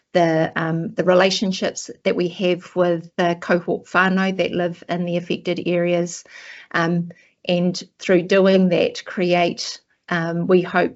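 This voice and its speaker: A woman from New Zealand with a New Zealand accent, a research director giving a formal webinar presentation in a measured, steady way. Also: on the low side. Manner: measured, steady delivery opening with a Māori chant and greeting. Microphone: video call. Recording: dull lo-fi video call audio.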